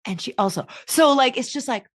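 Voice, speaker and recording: A woman's voice: high voice, lively intonation, brisk, dry recording, studio quality. A woman in her thirties from Southern California hosting a podcast, chatting casually with frequent laughs and fillers. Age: 30s. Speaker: podcast host. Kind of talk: casual conversational interview.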